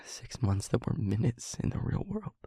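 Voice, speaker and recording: A man playing a guilt-ridden demon lover in an audio roleplay, confessing tenderly and in anguish in a halting, breathy, husky hush. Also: medium pitch, sing-song, dry recording, studio quality, heavily acted. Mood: anguished, confessional. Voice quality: breathy, husky, often whispering. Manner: halting, hushed, dramatic pauses. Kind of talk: intimate audio roleplay monologue.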